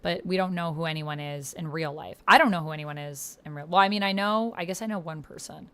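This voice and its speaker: A woman's voice: sits low, a little fast, a bit bright, smooth. A woman streamer talking informally and thinking aloud as she chats with her viewers and plays a text game. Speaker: streamer and tabletop game player. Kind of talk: casual livestream chat, reading out text chat.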